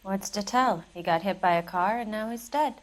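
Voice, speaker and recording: An actress playing a hard-bitten, defensive 1940s American widow in a video game, curt, dry and unsentimental. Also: female voice, mid-range voice, moderate pace, close to an everyday voice. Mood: cold, defensive. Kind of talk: dramatic video game acting.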